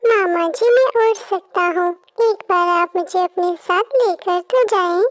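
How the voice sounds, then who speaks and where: Woman voicing a tiny high-pitched cartoon bird. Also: measured pace, no room sound. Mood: childlike, earnest. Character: small devoted mother bird and her little chick. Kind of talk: Hindi children's cartoon voice acting.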